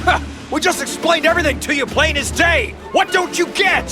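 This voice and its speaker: A man playing an excitable, loyal alien minion in a video game cutscene, fawning and indignant. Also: very high voice, moderate pace. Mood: scornful. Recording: music behind the voice.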